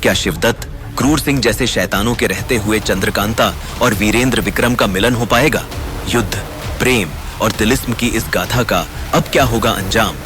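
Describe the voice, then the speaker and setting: A man's voice: on the low side, normal speed. A man reading dramatic, scripted teaser narration. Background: loud music.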